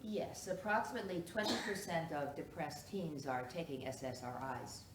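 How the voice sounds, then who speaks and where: A formal female psychiatrist played by a woman testifies in a courtroom stage drama with a low voice and clinical, deliberate phrasing. She is heard from a distance in an echoing, noisy room. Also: expressive. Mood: measured, deliberate. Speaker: actor in a one-act play.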